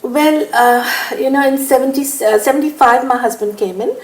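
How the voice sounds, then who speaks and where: A woman's voice: sits high, moderate pace, roomy sound, rough recording. An older woman from India talking at an easy pace in an interview, laughing often and using lots of fillers. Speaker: interviewee, businesswoman. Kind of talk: relaxed conversational interview.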